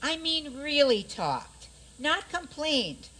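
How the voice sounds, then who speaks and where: Woman playing a practical, high-voiced fairy-tale princess. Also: very animated, slow, pushed and bright, slight background noise, full character voice. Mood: matter-of-fact. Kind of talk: community radio theater acting.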